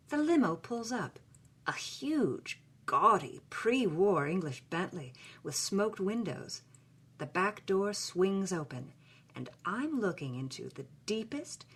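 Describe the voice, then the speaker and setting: A woman's voice: lowish voice, fairly bright tone, husky, heavily acted. A woman voicing a passionate, sensual young film star, reading a journal with lingering, dramatic phrasing.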